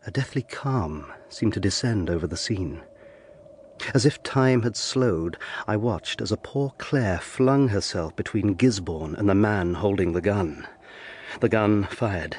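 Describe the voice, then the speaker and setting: An actor playing an earnest young eighteenth-century lawyer, narrating his tale gravely and intensely in an audio drama. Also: lowish voice, animated, a little slow, a little mellow, slight background noise. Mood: awed, grave.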